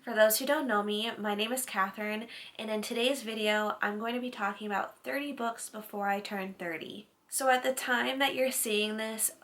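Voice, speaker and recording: A woman's voice: fairly high pitch, steady tone, normal speed, a bit bright. A woman in her late twenties, a book vlogger chatting casually to camera, with lots of "like" fillers. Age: late 20s.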